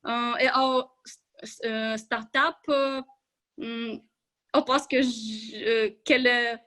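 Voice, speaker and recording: Woman, high voice, slow halting delivery, filler sounds, video call. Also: fairly even intonation, bright, forceful tone. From Ukraine. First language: Russian and Ukrainian. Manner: hesitant, many filler sounds, restarts words. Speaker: club member giving a speech. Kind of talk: hesitant prepared speech.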